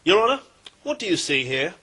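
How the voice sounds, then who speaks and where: An actor playing an excitable, theatrical defendant in a comedy sketch, dramatic and indignant. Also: male voice, high-pitched, brisk, breathy edge, heavily acted. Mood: grandiose.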